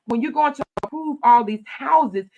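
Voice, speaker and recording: Loud, gravelly woman commissioner. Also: sits high, normal speed, narrow, tinny audio, slight background noise. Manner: animated storytelling, acting out her own quoted replies. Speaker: county commissioner. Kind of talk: casual interview talk.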